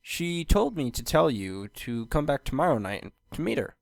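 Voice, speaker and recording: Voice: medium pitch, sing-song, normal speed, gravelly, no room sound. Someone doing a flustered young boy dragon. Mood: nervous reassurance. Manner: flustered, hesitant. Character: shy, eager young male dragon assistant.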